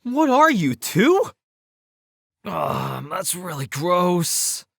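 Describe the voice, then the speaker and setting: Bright, expressive teenage schoolboy. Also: male voice, high voice, drawn-out words, creaky, no room sound, clean studio sound, exaggerated voice. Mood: disgusted, flustered. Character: exasperated but caring teenage schoolboy in horror game. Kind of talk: video game dub acting.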